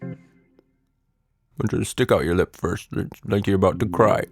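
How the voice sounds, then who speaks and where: A male storyteller with a fairly low voice speaks to young listeners as a podcast host. He is playful and conspiratorial, as if sharing a secret with them. The recording is close and dry. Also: moderate pace, vocal fry, slightly rough, no echo, soft background music. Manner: playful, conspiratorial, addresses children directly.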